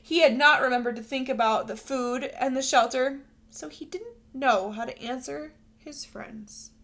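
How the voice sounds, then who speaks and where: Female teacher for children's read-aloud: high, bright voice, expressive storytelling with guiding questions. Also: a little slow. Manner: expressive, instructional, addressing young children. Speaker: teacher reading a picture book to children.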